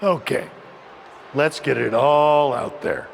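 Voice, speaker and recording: Game role: exasperated wrestling boss, American, deep creak in a raised voice, emphatic scolding, big echoing hall. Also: male voice, takes it slowly, a faint crowd. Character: exasperated, stern veteran wrestler turned boss. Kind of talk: wrestling promo acting. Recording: booming arena reverb, faint noise.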